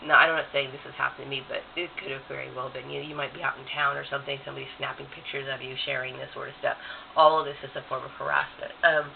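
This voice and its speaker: A man with a cold talks through a rambling vlog, his nasal voice very gravelly and worn. He fills his pauses with "um" and drifts from point to point. The audio is thin and phone-like, with a steady hiss underneath. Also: medium pitch. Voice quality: scratchy voice. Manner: rambling, many "um"s. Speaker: vlogger. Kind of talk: casual talking-head vlog.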